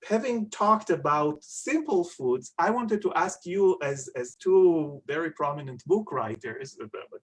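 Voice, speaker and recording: A man tells stories in an animated, playful way over a video call. His voice sits a little higher than most men's. He hosts the conversation with a warm sense of humor. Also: low-quality recording. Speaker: webinar moderator and chef. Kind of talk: casual storytelling on a video call.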